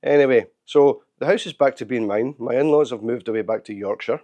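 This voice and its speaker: Hoarse, candid man. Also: mid-range voice, warm tone. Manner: open, candid, halting with restarts. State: hoarse, losing his voice. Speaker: channel host. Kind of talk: casual video update. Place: living room at home.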